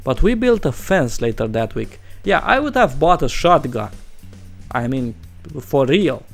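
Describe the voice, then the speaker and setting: A man's voice: medium pitch, very animated, measured pace, faint music behind. A man who is not a native English speaker, narrating online stories as a host and adding casual, incredulous asides. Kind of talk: story narration with casual reactions.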